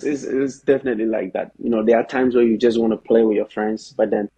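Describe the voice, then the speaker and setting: A man from Ghana with a low, gravelly voice that stays quite flat. He speaks as a guest on a video call, calm and measured, leaning on "you know" between thoughts. The tone is dark and a little husky. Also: poor audio. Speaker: guest, professional soccer player. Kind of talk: casual interview talk. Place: indoors, bright room at home. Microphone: video call, laptop or phone camera.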